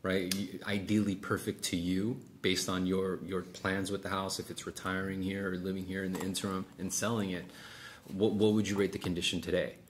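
A man's voice: low-pitched, little pitch movement, brisk. A middle-aged man coaching one-on-one in a casual, explanatory way, acting out sample sales dialogue and checking in often. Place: small office meeting room, indoors. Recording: clearly noisy room sound.

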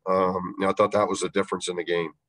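A man coaching a basketball team, answering reporters' questions after a game, measured and halting with many fillers. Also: low voice, flat delivery, fairly bright tone. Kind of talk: post-game press conference answers.

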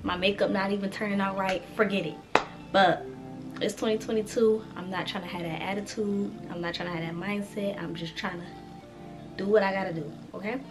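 A woman's voice: mid-range voice, a little fast, over music. Chatty young woman with a cold. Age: 20s. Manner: chatty and animated, talks straight to viewers. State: congested with a cold. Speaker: vlogger. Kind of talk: casual chat to camera.

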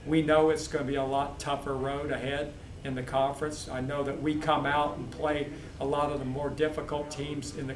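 A man who is a head football coach, answering postgame questions in a steady, measured way with many fillers. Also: fairly high pitch. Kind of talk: postgame press interview. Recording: echoey, distant mic, crowd noise.

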